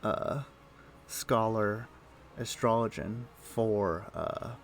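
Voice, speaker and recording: Male game streamer, lowish gravelly voice, halting casual commentary, muttering to himself. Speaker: game livestreamer. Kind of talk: casual livestream chat with gameplay commentary.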